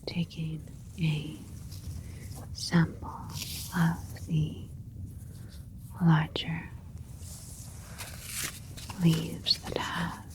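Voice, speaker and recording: A woman voicing a calm alien scientist doctor in a soft, measured whisper for an ASMR sci-fi roleplay. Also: deep voice, exaggerated voice, low-quality recording. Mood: clinical, focused. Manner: slow, measured, clinical, deliberate. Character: calm, clinical alien scientist doctor creating a plant hybrid.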